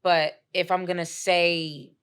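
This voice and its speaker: Animated Haitian-born woman on a podcast. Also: on the low side, slow pace, clean tone. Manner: animated, laughs easily, argues with conviction. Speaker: guest. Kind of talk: casual podcast discussion.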